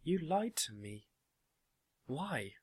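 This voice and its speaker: A woman's voice: low-pitched, sing-song, extremely slow, breathy edge, pitched above the performer's own voice, exaggerated voice. A man voicing an emotional, indignant young girl in a story. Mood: accusing. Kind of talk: audiobook character dialogue.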